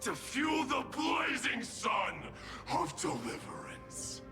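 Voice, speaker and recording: An actor playing a proud, battle-hungry demigod warrior in a video game, declaiming in a grandiose, solemn way. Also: male voice, very high-pitched, very animated, takes it slowly, exaggerated voice. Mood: fierce, exultant. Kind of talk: dramatic video game voice acting. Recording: long reverb, echo, loud music behind.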